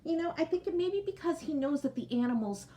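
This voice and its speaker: A woman's voice: high voice, animated, fast talker, dark tone, a little hiss or hum. A woman speaking as a teacher in a read-aloud lesson for young children, explaining things simply and often checking that they follow. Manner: explains simply, with frequent checking "okay?" and recaps. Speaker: teacher reading a picture book aloud to children.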